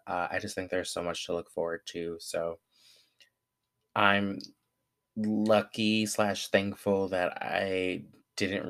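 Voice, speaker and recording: Deep-voiced man in his twenties. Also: no room sound, studio quality. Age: 20s. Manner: relaxed and candid, with frequent fillers and self-corrections. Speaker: vlogger. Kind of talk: reading his own essay aloud, then casual reflection.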